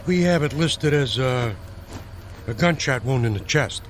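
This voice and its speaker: An actor playing a matter-of-fact police lieutenant in a radio drama, casual and dry. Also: male voice, mid-range voice, animated, unhurried, slight background noise, natural voice. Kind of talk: naturalistic radio drama acting.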